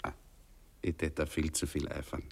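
An actor playing a cocky, lusty young soldier in a radio play, speaking offhand Viennese dialect. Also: male voice, extremely low pitch, monotone, normal speed, creaky, husky, whispered, full character voice. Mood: coaxing, gruff. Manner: colloquial, offhand dialect speech. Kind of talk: 1960s German radio play acting. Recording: 1960s radio drama with music behind.

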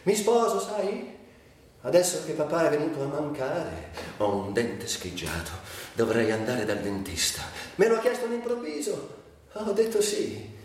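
A woman's voice: low voice, sing-song, unhurried, a little mellow, voice pushed up. An actor voicing a chattering young woman who is breaking up with her lover, breezy, self-justifying and pleading. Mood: flustered, breezy. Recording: very echoey, distant, faint noise.

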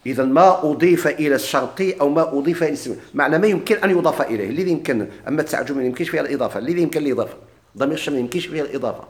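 Man, Moroccan accent, grammar teacher, fast delivery, slightly high voice, quizzes the class. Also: lively intonation. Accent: Moroccan Arabic. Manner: drawls quoted examples slowly, quick plain explanations; asks students questions and repeats their answers. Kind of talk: classroom lesson, questioning students.

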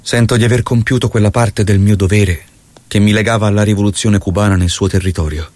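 An actor playing a tender, solemn revolutionary saying farewell in poetic, measured stage-drama style. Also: male voice, on the low side, fairly even intonation, darkish tone, close to an everyday voice. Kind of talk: poetic stage-drama acting, reciting letters.

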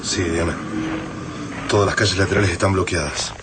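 A man's voice: sits low, brisk, very noisy, natural voice. A man playing a tense, anxious survivor in a radio drama, with an Argentine accent. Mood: anxious, grim. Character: tense, anxious male survivor, comrade of a woman fighter.

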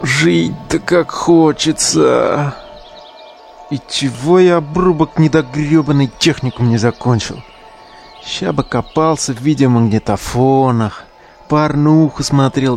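An actor playing a scared, foul-mouthed ex-skinhead of twenty-seven who whines in crude slang in an audio drama. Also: male voice, medium pitch, a little slow, creaky, a little mellow, soft background music. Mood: glum, crude. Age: young adult. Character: whining, foul-mouthed 27-year-old ex-skinhead, scared and regretful.

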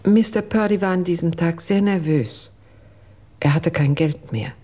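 An actress playing a reserved housekeeper giving testimony in a German radio crime drama, formal and measured. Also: female voice, lowish voice, dark tone.

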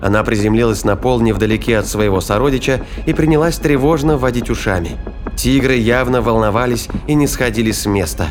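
A man's voice: medium pitch, brisk. A man narrating a sci-fi thriller audio drama in a scripted storytelling style. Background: music and sound effects.

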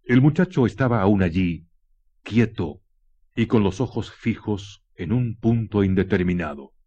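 A man's voice: on the low side, animated, a little slow, warm tone, breathy edge. A man giving solemn, literary narration for a radio drama. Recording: dull lo-fi 1990s radio recording. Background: music.

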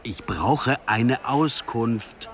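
A young man in a radio drama, earnest and stubborn. His pitch is middling but swings in a lively way, with a naive, fixated air. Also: very slow. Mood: insistent. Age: young adult. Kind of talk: radio play acting.

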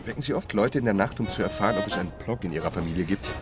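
A man's voice: fairly low pitch, very fast, lightly acted. An actor playing an easygoing, merry young Serbian man with a drowsy, amused manner in a radio crime play. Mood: dry, sleepy. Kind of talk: German radio crime drama acting. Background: music.

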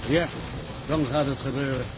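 Actor as a ship's officer in loud noise. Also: male voice, medium pitch, steady tone, normal speed, close to an everyday voice. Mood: resigned. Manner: matter-of-fact, grave. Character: sober, weary ship's officer reporting at sea. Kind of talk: dramatic screen acting. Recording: very noisy shipboard drama soundtrack.

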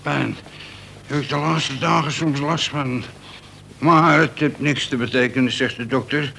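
Creaky-voiced, crafty convict. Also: male voice, medium pitch, steady tone, unhurried, sharp tone, slight background noise. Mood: plaintive. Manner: slangy, coarse, streetwise. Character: crafty, coarse male convict, cynical self-styled man of principle. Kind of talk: radio play acting.

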